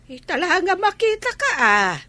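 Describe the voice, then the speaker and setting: A woman with a Filipino accent playing a scandalised, sympathetic village woman in a comedy radio drama, given to drawn-out exclamations. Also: very high-pitched, very animated, pushed and bright, no echo, exaggerated voice.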